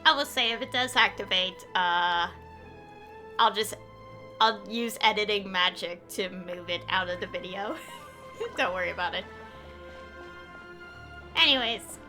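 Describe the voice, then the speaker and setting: A woman's voice: medium pitch, a little slow, long pauses, bright, forceful tone, soft background music, rough recording. A woman chatting casually and informally on a game livestream, rambling a little as she goes. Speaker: game streamer.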